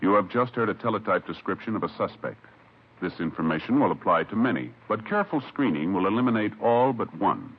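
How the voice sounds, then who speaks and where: A man's voice: lowish voice, lively intonation, fairly quick, slightly rough. A man reading a 1950s radio commercial as an announcer, in an emphatic, salesmanlike manner. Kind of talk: 1950s radio cigarette ad read. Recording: dull vintage radio recording.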